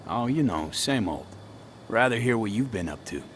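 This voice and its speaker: A man's voice: lowish voice, sing-song, moderate pace, a little hiss or hum. A man playing a brooding but sincere streetwise mercenary in a video game, speaking in a casual, clipped, earnest way. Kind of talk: naturalistic video game voice acting.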